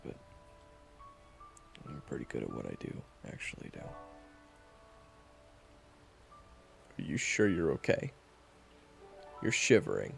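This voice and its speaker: A man playing a sardonic, guarded monster hunter in a fantasy audio roleplay, with a gravelly voice and a dry, teasing, bluntly dismissive manner. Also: extremely low pitch, pitched below the performer's own voice, full character voice. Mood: dry, matter-of-fact. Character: sardonic, guarded young male monster hunter, begrudgingly chatty. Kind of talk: fantasy audio roleplay acting, addressed to the listener.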